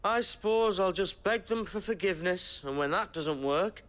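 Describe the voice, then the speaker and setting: An actor playing a cheeky, shifty young village rogue in a video game, with a glib, colloquial manner. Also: male voice, high voice, expressive, normal speed, a bit bright. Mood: sheepish, glib. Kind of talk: video game cutscene acting.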